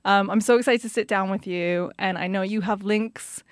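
A young woman raised in Canada hosting a casual interview, bubbly and quick to laugh. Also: mid-range voice, fairly even intonation, no echo, clean studio sound. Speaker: interview host.

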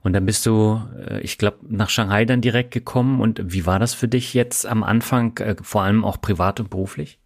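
Male podcast interviewer, lower voice, relaxed, asks follow-up questions conversationally. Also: brisk, hardly any pauses. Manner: calm conversational questioning with fillers. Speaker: podcast host.

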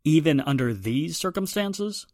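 A man playing a businesslike police inspector in 1940s radio drama style, brisk and matter-of-fact. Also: on the high side, lively intonation, darkish tone, no room sound, clean studio sound. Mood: official.

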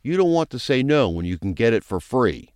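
An actor playing a smooth-talking, pushy lawman who strikes deals, in a crime audio drama. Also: medium pitch, sing-song, a little fast, a little mellow, dry recording, clean studio sound, close to an everyday voice. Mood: persuasive.

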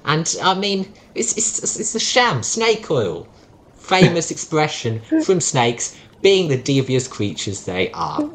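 Animated sarcastic podcast co-host. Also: medium pitch, slow pace. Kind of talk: casual debate.

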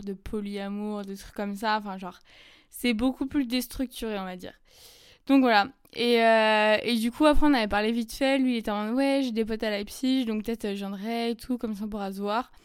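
A young woman telling a personal story in casual, chatty French, full of fillers and quick asides. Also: medium pitch, smooth, no echo, studio quality. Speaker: podcast host. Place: lives in Germany.

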